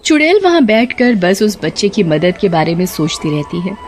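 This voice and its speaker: A woman's voice: mid-range voice, big pitch swings, moderate pace, darkish tone, a little hiss or hum. A woman narrating a scripted tale as a storyteller.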